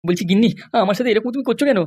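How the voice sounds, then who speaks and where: A woman doing a fast-talking henpecked cartoon husband. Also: a little high, a little mellow, lightly acted. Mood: pleading. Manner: pleading, placating. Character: meek, pleading henpecked husband. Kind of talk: comedy cartoon dialogue.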